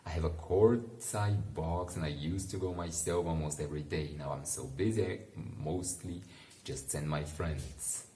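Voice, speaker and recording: A man reading a bedtime book aloud in a relaxed, informal way, pausing to muse with casual asides. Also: rumbling low, reverberant, slight background noise. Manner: unhurried, musing, informal. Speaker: bedtime story reader and commentator. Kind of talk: relaxed read-aloud with casual asides to help listeners sleep.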